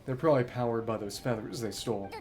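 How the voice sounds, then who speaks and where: A man's voice: mid-range voice, fast talker. A man streaming a game, musing to himself in short asides and reading dialogue aloud in a casual way. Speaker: gaming streamer.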